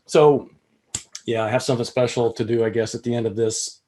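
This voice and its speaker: A man's voice: medium pitch, steady tone, fairly quick, warm tone. A man co-hosting a podcast, chatting casually in a rambling, self-deprecating way with many fillers. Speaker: co-host.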